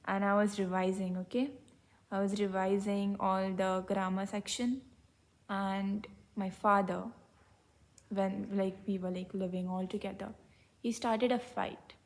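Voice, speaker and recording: Slightly hoarse young Indian woman vlogging. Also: mid-range voice, darkish tone. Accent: Indian English. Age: young adult. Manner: candid and reflective, switching into Hindi mid-sentence. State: a little hoarse from being unwell. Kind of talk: personal vlog storytelling.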